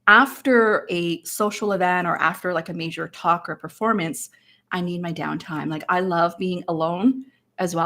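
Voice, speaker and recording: A woman from Hong Kong and Canada, whose first language is not English, speaks on a panel as an interview coach. Her voice is slightly low, and her delivery is energetic and emphatic, with words often repeated at the starts of phrases. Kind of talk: panel discussion.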